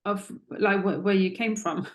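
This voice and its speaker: A woman's voice: mid-range voice, steady tone, slow, echoey room. A woman hosting a podcast interview, asking questions with some hesitation and reading a closing outro.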